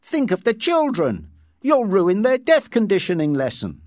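A man's voice: high voice, wildly swooping pitch, unhurried, darkish tone, no room sound, studio quality, voice pushed up, full character voice. A man reading an audiobook as a stern female head nurse with a severe, scolding manner. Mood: severe, angry.

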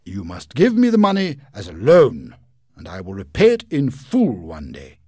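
A man voicing a proud, pompous gentleman in a storybook reading, speaking loudly, formally and declamatorily. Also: on the low side, wildly swooping pitch, moderate pace, slightly rough, no room sound, studio quality, exaggerated voice. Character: proud, pompous middle-aged gentleman clerk.

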